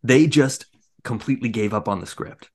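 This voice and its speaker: A man's voice: medium pitch, normal speed. A man co-hosting a podcast, chatting casually and wryly as he shares tidbits and mock quotes. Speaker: podcast co-host. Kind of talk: casual chat.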